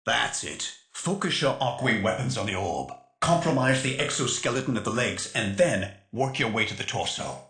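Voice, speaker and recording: A rebel crew's tech expert in a game. His voice is forceful and swoops widely as he gives precise, formal tactical instructions with urgency, in a roomy echo. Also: mid-range voice, very animated, normal speed, few pauses, faint music behind. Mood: urgent briefing. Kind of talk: dramatic game mission dialogue.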